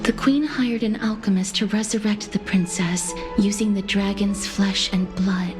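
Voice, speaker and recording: An actress playing a polite, bookish young woman in a video game, speaking softly and formally. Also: mid-range voice, steady tone, measured pace, lightly acted. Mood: gentle, earnest. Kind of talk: scripted video game dialogue. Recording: music behind the voice. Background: game music.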